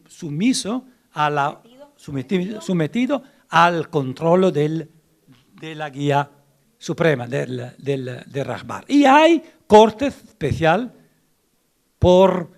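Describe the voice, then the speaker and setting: Italian-accented man lecturing in halting Spanish. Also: on the high side, creaky. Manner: halting, many repeated words and restarts, searching for Spanish words; animated, rising emphasis on key points. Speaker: lecturer on Islamic law. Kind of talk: academic lecture.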